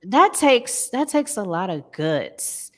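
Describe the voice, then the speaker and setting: A woman's voice: medium pitch, very animated, slow pace. A woman speaking casually and hesitantly as a panelist on a music podcast.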